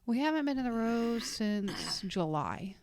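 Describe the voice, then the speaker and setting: A woman's voice: on the high side, lively intonation, measured pace, darkish tone, a little hiss or hum. A woman in her thirties co-hosting a podcast, chatting casually and telling rambling stories. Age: 30s. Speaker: podcast co-host. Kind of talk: casual chat.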